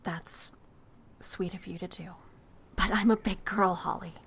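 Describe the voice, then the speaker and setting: A woman's voice: on the low side, fairly even intonation, a little slow, vocal fry. An actress playing a tough, protective, world-weary young woman in an audio drama, blunt in manner, with faint noise behind her. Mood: weary, bitter.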